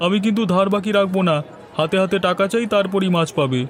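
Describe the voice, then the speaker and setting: Man doing a high, fast village fishmonger. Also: a faint crowd, slight background noise, lightly acted. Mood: brisk. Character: brisk, no-credit village fish seller. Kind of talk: Bengali cartoon story voice acting. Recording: faint music behind the voice.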